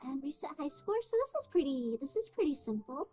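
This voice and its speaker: A high-pitched woman hosts her livestream in a relaxed, easygoing way, with plenty of ums. Faint music plays quietly under her voice. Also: a little fast, rough recording. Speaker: streamer host. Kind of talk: casual stream chat.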